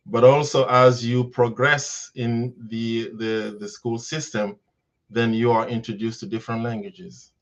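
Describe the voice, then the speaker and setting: A man speaks slowly and thoughtfully, pausing on ums and restarting his sentences. His voice sits fairly low. The sound comes over a dull, lo-fi video-call line. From Senegal. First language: Wolof. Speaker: teacher, guest.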